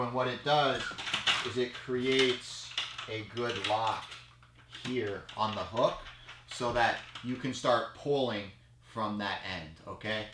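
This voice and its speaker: Low-pitched male coach, relaxed how-to tone, recorded on a camera mic in a small echoey room. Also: a little hiss or hum. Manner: casual, explanatory, frequent "okay?" check-ins. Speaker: judo coach. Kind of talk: how-to demonstration. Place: small storage room with mats, indoors.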